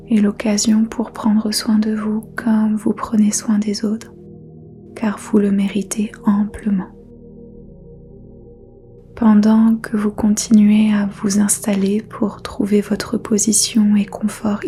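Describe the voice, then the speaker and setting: A woman whispers a guided meditation in a soft, mellow hush. She speaks slowly, in an even, barely rising tone. Faint music plays underneath. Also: medium pitch. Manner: whispered throughout, soft and unhurried. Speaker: yoga nidra teacher.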